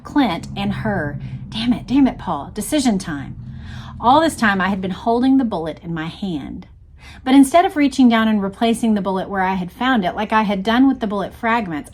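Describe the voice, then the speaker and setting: A woman with a mid-pitched voice reads a memoir aloud to listeners. Her delivery is dramatic and emphatic, in the manner of a storyteller working through a first-person account. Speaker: reader of a book aloud.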